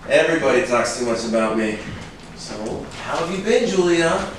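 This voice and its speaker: Wry ex-army investigator played by a man, animated, casual, halting; stage play heard from afar over clear noise. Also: medium pitch, lightly acted. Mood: guarded, flirtatious. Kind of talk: stage acting at a script reading. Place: large room, staged reading recorded from afar. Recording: echoey room, far from mic, noisy.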